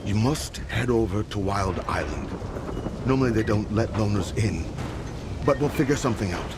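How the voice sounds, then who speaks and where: A man's voice: fairly low pitch, lively intonation, moderate pace, noisy background, lightly acted. A man acting a charismatic, teasing rebel leader in a video game, with a sardonic, theatrical and familiar manner. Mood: serious. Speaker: video game character in cutscenes. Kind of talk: dramatic video game dialogue. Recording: loud music behind dialogue.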